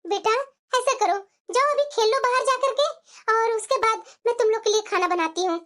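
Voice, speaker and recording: A woman voicing a worried, gentle, poor young mother in a cartoon family drama, with a soothing, plaintive manner. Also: extremely high pitch, fairly quick. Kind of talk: Hindi moral-story cartoon dialogue.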